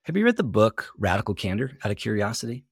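A man's voice: fairly low pitch, very animated, fast talker, creaky, slightly rough, dry recording, studio quality. A man hosting a podcast interview, reflecting on and summing up what his guest says. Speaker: podcast host. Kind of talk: conversational interview.

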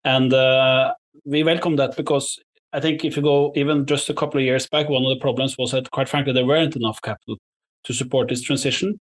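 Measured man giving careful interview answers. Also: mid-range voice, steady tone. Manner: measured, diplomatic, careful wording. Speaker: investor, interview guest.

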